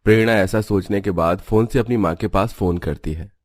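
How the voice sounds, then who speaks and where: Low-pitched man narrating a story. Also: moderate pace. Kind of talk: scripted cartoon story narration.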